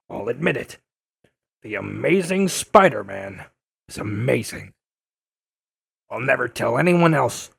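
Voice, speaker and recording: A bitter old newspaperman played by a man in an audio drama. His voice is heavily creaky and very husky, at a middle pitch. He declaims his accusations slowly and indignantly, full of bluster. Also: fairly bright tone, full character voice. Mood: grudging, scornful. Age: older. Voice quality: gravelly, raspy.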